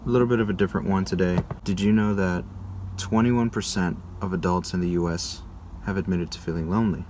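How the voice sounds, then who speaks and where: Low-voiced young American man, casual delivery. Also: fairly even intonation, a little fast, darkish tone, slight background noise. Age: young adult. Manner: informal, conversational. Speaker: video creator presenting a school project. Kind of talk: casual presentational vlog narration.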